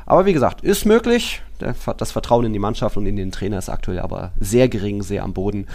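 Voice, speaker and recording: A man's voice: lowish voice, expressive, fast, vocal fry, darkish tone. A man hosting a football podcast, giving opinionated, colloquial commentary in a casual style.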